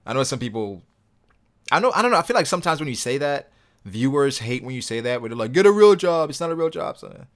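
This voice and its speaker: A young man hosting a casual livestream, reading viewer comments aloud and answering them in a relaxed, rambling way. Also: mid-range voice, fast talker. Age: young adult. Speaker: livestream host reading chat.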